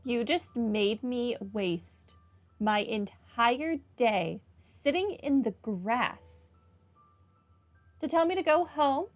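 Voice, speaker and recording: A woman gives casual video game commentary in a fairly high voice. Her delivery is wry and sarcastic, with game music faintly behind her. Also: animated, takes it slowly. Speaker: video game playthrough host. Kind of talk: casual game commentary, reading dialogue aloud.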